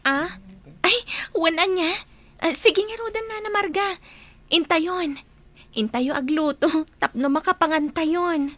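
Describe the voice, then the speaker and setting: A woman's voice: very high-pitched, measured pace, pauses often, a bit bright, no room sound. A woman with an Ilocano accent acting a shy, obedient young lady in a radio drama. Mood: bashful, willing.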